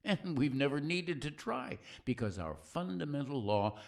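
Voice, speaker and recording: A man's voice: fairly high pitch, animated, moderate pace, vocal fry, dry recording, studio quality. A man playing a folksy, earnest narrator in an audio play, speaking in an oratorical, theatrical style. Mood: earnest, declamatory. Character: folksy, earnest male narrator musing on his country.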